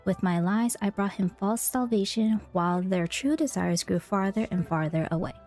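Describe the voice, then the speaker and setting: Girl streamer playing and reading out loud, mellow mid voice, quiet game music in back. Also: medium pitch, moderate pace. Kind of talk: casual playthrough commentary and reading aloud.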